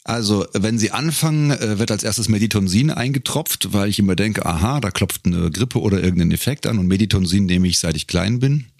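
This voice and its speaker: A man's voice: fairly low pitch, fairly quick, vocal fry, few pauses, dry recording, clean studio sound. A middle-aged man chatting casually as an interview guest, telling anecdotes with a joking touch. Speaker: podcast guest, professional voice-over speaker and singer. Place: close-miked in a dry room.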